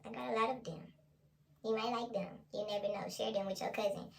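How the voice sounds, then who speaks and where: A woman's voice: mid-range voice, steady tone, quick pace, creaky. A young woman vlogger talking casually in a playful, slangy way, as in an ASMR role play.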